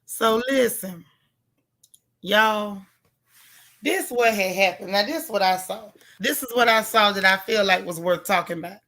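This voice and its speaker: A woman hosting a casual live recap chat, with a loud, gravelly, hoarse voice, greeting viewers by name with folksy slang. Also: mid-range voice, unhurried. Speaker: livestream host.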